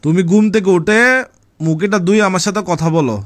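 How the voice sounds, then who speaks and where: A man makes a prank call at a rapid clip. He nags and teases, repeating "huh?" just to needle the other person. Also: sits high, a little mellow. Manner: nagging, teasing, repeats "huh?" to annoy. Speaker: radio prank caller.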